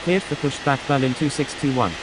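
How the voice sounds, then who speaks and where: A man's voice: mid-range voice, fairly quick, background noise, close to an everyday voice. A man playing an airline pilot in a flight game, making clipped, procedural radio calls. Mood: businesslike. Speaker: airline pilot on air traffic control radio. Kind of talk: scripted radio phraseology.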